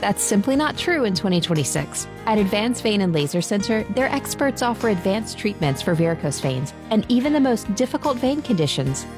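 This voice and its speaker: A woman's voice: medium pitch, sing-song, fairly quick, hardly any pauses, music in the background. A woman reading a clinic commercial in an earnest, persuasive tone. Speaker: ad announcer.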